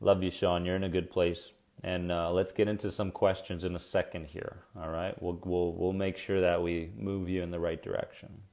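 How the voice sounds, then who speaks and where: A man with a fairly low, soothing voice hosts a live coaching session. He talks casually and warmly to his viewers, encouraging them and answering their comments as they arrive. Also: little pitch movement, normal speed, hardly any pauses. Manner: chatty and encouraging, addresses viewers directly and reacts to their comments. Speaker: anxiety coach hosting a weekly live stream.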